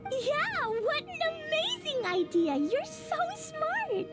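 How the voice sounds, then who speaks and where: Little girl, game character, childlike, innocent, earnest, slow pace, swooping intonation. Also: huge pitch swings, full character voice. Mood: excited, delighted. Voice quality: high, childlike. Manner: simple, earnest, exclamatory. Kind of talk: video game voice acting. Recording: music playing behind the voice.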